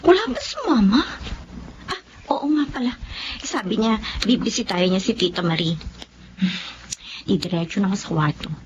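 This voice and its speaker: A woman acting a shocked, tearful younger sister in a Filipino audio drama, emotive and breathy in her exclamations. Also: mid-range voice, moderate pace, long pauses, highs cut off, natural voice. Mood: puzzled. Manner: emotive, breathy exclamations, Tagalog with some English. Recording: clear background noise.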